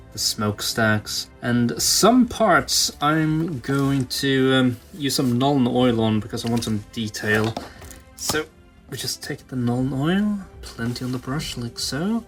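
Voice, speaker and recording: Slow-paced man talking through hobby work. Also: medium pitch, a bit bright. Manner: informal, thinking aloud while working. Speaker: toy customizer presenting own channel. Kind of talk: casual hobby tutorial commentary.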